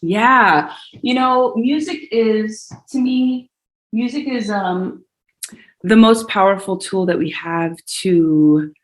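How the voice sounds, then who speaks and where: A woman's voice: medium pitch, slow pace. A woman from Hawaii, a singer and yoga teacher, talking in an earnest, reflective way as a podcast guest. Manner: earnest and reflective, with fillers and restarts. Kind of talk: casual conversation.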